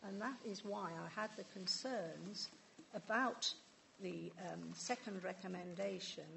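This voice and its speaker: A woman's voice: medium pitch, gravelly, fairly bright tone, slight background noise. A woman councillor speaking in a formal council meeting, earnest and hesitant, often restarting her words.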